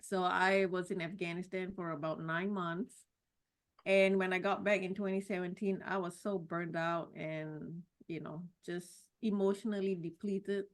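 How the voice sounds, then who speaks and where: A middle-aged woman from Singapore, a podcast guest telling her life story in a casual, reflective way with many pauses and restarts. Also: lowish voice, flat delivery. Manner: warm, reflective, with frequent "you know" and restarts. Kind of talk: personal story in a casual interview.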